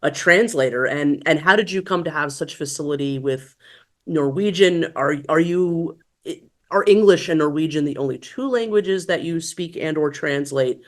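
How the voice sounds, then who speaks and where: A woman's voice: sits low, normal speed. Woman hosting a podcast, gushy and encouraging, asks long rambling questions. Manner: effusive, warmly encouraging. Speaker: podcast host. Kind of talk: interview questions.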